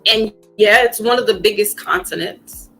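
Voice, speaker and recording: A woman in a mid-range voice hosts a relaxed talk, thinking aloud with plenty of ums and you-knows. She sometimes pushes her voice up when making a point, with faint music under her. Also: medium pitch, normal speed, rough recording. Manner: reflective, many fillers, rising to emphatic. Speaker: podcast host. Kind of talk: casual conversation.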